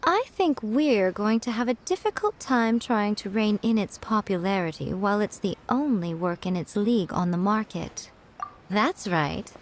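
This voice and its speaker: A woman's voice: mid-range voice, animated, moderate pace, slight background noise, natural voice. An actress voicing a sly, confident woman who runs a publishing house, speaking in a teasing, composed way. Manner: smug, teasing, composed and persuasive. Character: sly, confident adult woman editor, playful and scheming. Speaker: chief editor of a publishing house. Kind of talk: game voice acting.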